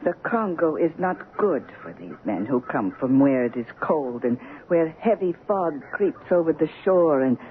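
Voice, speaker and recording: Animated, proud Congolese man. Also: on the high side, measured pace, hardly any pauses. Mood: solemn. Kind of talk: 1970s radio drama acting. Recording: muffled, noisy 1970s AM radio recording.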